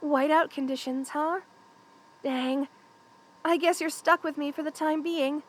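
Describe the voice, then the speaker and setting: A woman's voice: high-pitched, steady tone, measured pace. A woman voicing a playful, affectionate young lamia best friend in a casual, teasing ASMR roleplay. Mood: cheerful, affectionate. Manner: casual, teasing, conversational with soft chuckles. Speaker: voice actor in audio roleplay. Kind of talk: ASMR roleplay, one-sided friendly chat.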